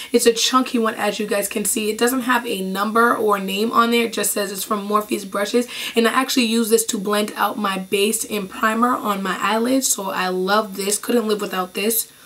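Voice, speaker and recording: Young woman, hoarse voice, chatty, enthusiastic, beauty vlog, small bedroom, camera mic. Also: medium pitch, a bit bright. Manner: chatty, enthusiastic, repeats praise. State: hoarse, losing her voice after being sick. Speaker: beauty vlogger. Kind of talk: casual product review. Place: small bedroom with clothes racks behind.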